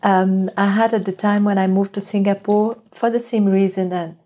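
Mellow-voiced woman, halting speech. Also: medium pitch, fairly even intonation. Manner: halting, restarting phrases, hesitant. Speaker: guest, former artist coach. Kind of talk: conversational interview answer.

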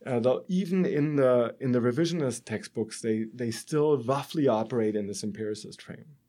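A middle-aged man with a German accent speaks as an expert guest in an academic interview. His voice sits at a medium pitch. He explains in a halting, thinking-aloud way, often adding a short tag to check understanding. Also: mellow.

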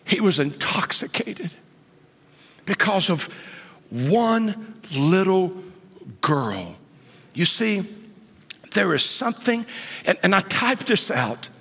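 A man in his late fifties preaches slowly and deliberately, his voice swinging widely from whispered asides to high, ringing peaks. The tone is husky and a little breathy. The sound carries a long church-hall reverb. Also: sing-song. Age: 50s. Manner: swings from hushed whispers to sudden high outbursts. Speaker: pastor. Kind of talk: sermon. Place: church sanctuary.

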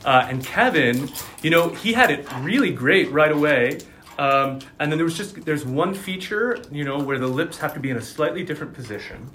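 A man's voice: on the high side, lively intonation, a bit bright, a little hiss or hum. Enthusiastic male dialect coach. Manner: articulate, enthusiastic, quick bursts of speech. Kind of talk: conversational interview.

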